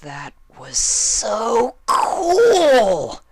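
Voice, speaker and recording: A man voicing a brash, excitable young female pegasus pony in a gushing, exclaiming way for a story reading. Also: high voice, extremely slow, vocal fry, breathy edge, no echo, pitched above the performer's own voice, full character voice. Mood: thrilled, exhilarated.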